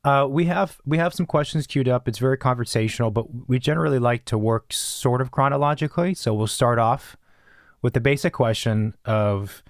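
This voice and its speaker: A man hosts an architecture podcast in a casual, friendly way, quick to laugh and to nod along with short replies. His voice sits at an ordinary pitch, recorded close and dry on a boom mic. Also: no echo. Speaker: podcast host. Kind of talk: casual interview. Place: small home studio with plants, indoors. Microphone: studio boom mic.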